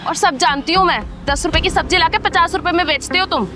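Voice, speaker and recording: A woman's voice: extremely high pitch, a little fast, a crowd in the background. A young woman playing an arrogant, argumentative customer in a short moral drama. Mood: contemptuous. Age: young adult. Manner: haughty, argumentative. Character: arrogant, haggling, rude young female customer.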